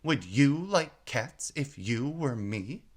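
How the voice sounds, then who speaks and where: A man voicing a tiny indignant, passionate mouse in a storybook, shrill and drawn-out. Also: very animated. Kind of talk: storybook character reading.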